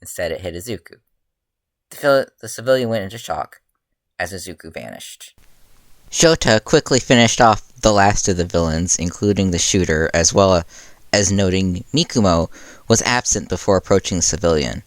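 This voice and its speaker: A low-pitched narrator reads fiction aloud. The delivery is informal, like a live reading, with the odd stumble. The sound is dry, with little room in it. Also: expressive, normal speed, dry recording. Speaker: author reading own fan fiction.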